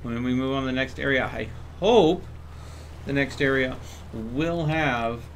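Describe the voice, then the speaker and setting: A man's voice: mid-range voice, a little slow, a little hiss or hum. A man hosting a livestream, casually talking through his game step by step and chatting with viewers. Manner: thinks aloud step by step.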